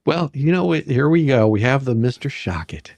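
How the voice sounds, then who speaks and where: Gravelly man hosting casual chat. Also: medium pitch, animated, moderate pace, a little mellow, no room sound. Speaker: livestream host. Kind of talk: casual, jokey livestream chat.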